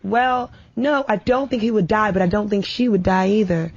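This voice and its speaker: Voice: mid-range voice, fairly even intonation, normal speed, no room sound. A talk show participant in a casual debate, insistent and argumentative, restarting sentences while pushing a point. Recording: dull lo-fi radio audio.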